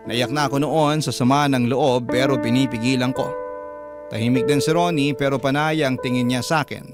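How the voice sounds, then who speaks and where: A man with a Filipino accent reading a scripted, dramatic love story as a radio host and narrator. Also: medium pitch. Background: prominent music.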